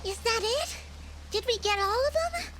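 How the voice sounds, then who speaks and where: A woman's voice: very high voice, animated, moderate pace. A woman voicing a plucky, boastful little flying fox-like female sidekick in a video game, perky and cheeky. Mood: hopeful. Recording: music and rain behind the voice.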